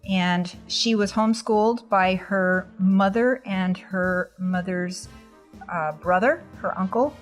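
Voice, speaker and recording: A woman who plays a tabletop game, explaining things casually in a halting way. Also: medium pitch, soft background music. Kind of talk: casual character introduction and table talk.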